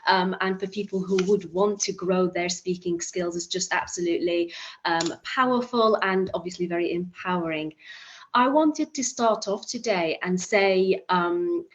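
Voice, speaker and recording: Woman from Iraq, 30s, motivational speaker; earnest, halting delivery, muffled call audio. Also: fairly low pitch. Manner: earnest, with many restarts and fillers. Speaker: summit speaker. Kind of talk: motivational talk.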